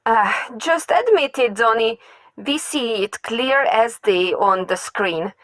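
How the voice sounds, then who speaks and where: A woman's voice: a little high, animated, slow pace, fairly bright tone, no room sound. A woman voicing a casual, teasing teenage brother in an amateur comic dub. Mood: accusing.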